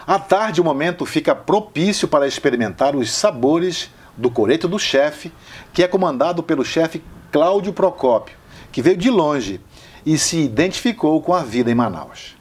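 A man narrating a travel show about local food in a measured, descriptive way. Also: on the high side, animated, husky.

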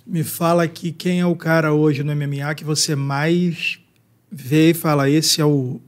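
A man in his thirties hosting a podcast, chatting casually with quick slangy interjections at a studio boom mic. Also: fairly even intonation. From Brazil. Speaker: podcast host. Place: small podcast studio with foam panels. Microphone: studio mic on boom arm, headphones.

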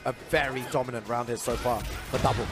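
A man commentating a fighting-game match, hyped and chatty, filling gaps with "you know" and "bro", with his voice going hoarse. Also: medium pitch, steady tone, brisk. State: losing his voice. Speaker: esports commentator. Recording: game music behind.

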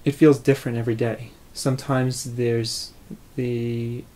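A man in his thirties speaks in a reflective documentary interview. His voice is of medium pitch, and he pauses often as he searches for words. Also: flat delivery, warm tone. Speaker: adoptive parent, interviewee. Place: living room, indoors.

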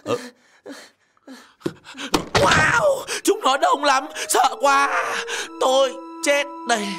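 A scheming cat villain from a cartoon, pitched high and swooping widely. He draws out his words in theatrical, melodramatic bursts over loud music. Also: sing-song, extremely slow, exaggerated voice. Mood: panicked wailing. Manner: whining theatrically, then bullying and gloating. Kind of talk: animated children's cartoon acting.

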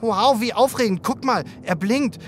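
A woman's voice: a little high, moderate pace, a little hiss or hum, close to an everyday voice. A woman voicing a cheeky, playful talking zebra in a children's radio play, exclamatory and teasing. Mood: excited.

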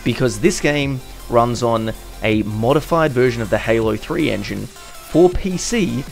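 A man's voice: medium pitch, animated, unhurried, gravelly, soft background music. A young Australian man with an Australian accent, chatting casually as a gaming YouTuber narrating over gameplay, correcting himself with little laughs.

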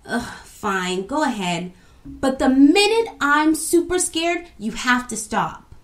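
A woman's voice: medium pitch, lively intonation, slow pace. A woman voicing an easily spooked little girl in a storybook podcast, whiny and pleading. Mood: protesting.

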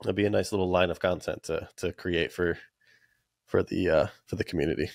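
A man's voice: low-pitched, fairly even intonation, a little fast, creaky, no echo, studio quality. A man in his thirties hosting a casual podcast interview, talking with many fillers and restarts. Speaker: podcast host. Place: small room with collectible shelves. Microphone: studio mic with headphones.

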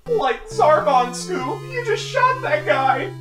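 A lanky cartoon slacker, forever frightened. The voice is extremely high and whiny, cracking as it stammers in a slow drawl. It sits in a roomy echo with faint music behind. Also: male voice, sing-song, far from the mic, heavily acted. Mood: panicked. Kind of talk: cartoon comic dub.

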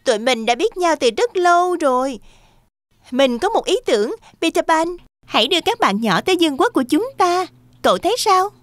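A tiny cartoon fairy played by a woman, eager and friendly. The voice is very high and sweet, recorded close and dry. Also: big pitch swings, brisk, no echo.

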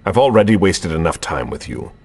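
A very deep, stern vampire investigator. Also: male voice, very animated, a little fast, a little mellow, exaggerated voice. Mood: menacing, impatient. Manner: curt, interrogating, intimidating. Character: stern, commanding male vampire investigator, coldly impatient. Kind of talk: video game cutscene acting.

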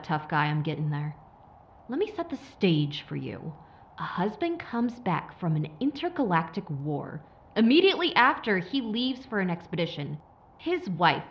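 Actress as a sly, low-voiced female blackmailer. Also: lo-fi sound. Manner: taunting, mock-sympathetic, unhurried menace. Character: sly, menacing woman corporate operative blackmailing a soldier. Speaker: villain. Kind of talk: audio drama acting.

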